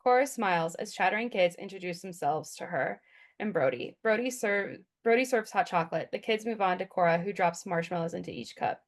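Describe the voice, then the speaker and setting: A woman's voice: on the low side, brisk. A woman reading scripted stage directions as the narrator of a table read.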